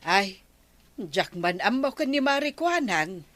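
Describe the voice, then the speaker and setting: Worried village wife gently telling people off, ilocano radio comedy, mid pitch, dry studio sound. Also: female voice, big pitch swings, takes it slowly, no room sound. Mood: dismayed. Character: concerned village wife, tactfully reproachful.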